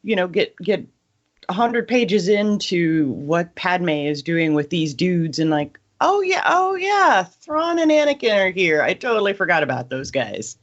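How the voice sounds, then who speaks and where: A woman's voice: on the low side, very animated, unhurried. A woman chatting casually about books as a podcast co-host. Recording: dull, band-limited audio.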